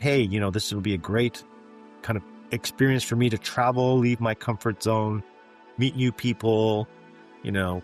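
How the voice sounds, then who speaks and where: A middle-aged man from Canada recounts his past at a steady, thoughtful pace, often pausing on "um" and "you know." His voice sits low. Soft music plays faintly behind him. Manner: reflective, measured, frequent fillers like um and you know. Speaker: guest, physician executive. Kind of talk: interview storytelling.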